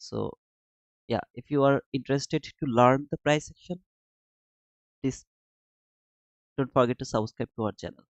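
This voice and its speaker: A man's voice: mid-range voice, unhurried, pauses often, no echo. A man from Bangladesh commenting casually on his live trading chart and chatting with viewers in the chat. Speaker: trading streamer. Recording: dull lo-fi dry sound.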